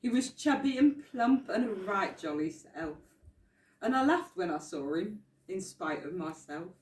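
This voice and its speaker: A woman reading a rhyming Christmas poem aloud in an expressive, dramatic storytelling manner. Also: mid-range voice, measured pace. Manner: dramatic, swelling from hushed to exclaiming. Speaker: storyteller, charity area officer. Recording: roomy echo, distant microphone.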